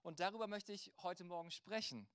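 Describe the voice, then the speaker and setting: Male pastor, high-pitched voice, dry close sound, conversational sermon delivery with rhetorical direct address. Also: a little fast, fairly bright tone, clear, resonant tone, no echo.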